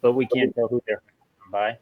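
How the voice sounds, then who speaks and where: A man's voice: medium pitch, level tone, brisk, phone-quality sound. A man talking casually as a player in a tabletop role-playing game, discussing and asking questions.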